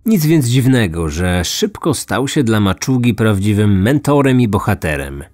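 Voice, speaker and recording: A man's voice: lowish voice, sing-song, normal speed, darkish tone, no echo, soft background music. A man narrating a scripted true-crime story as a podcast host.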